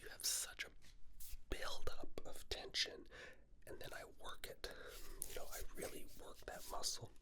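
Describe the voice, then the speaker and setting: A man playing an affectionate, playfully cocky boyfriend in a breathy, intimate whispered ASMR roleplay. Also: close to an everyday voice. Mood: playfully boastful. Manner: intimate, teasing, self-satisfied, slow.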